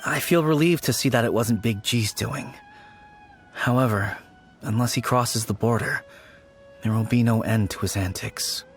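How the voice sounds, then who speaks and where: An actor playing a gentle, earnest young man in a game, remembering his childhood friend. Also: medium pitch, moderate pace, faint music behind, natural voice. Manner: earnest, warm-hearted. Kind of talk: game voice acting.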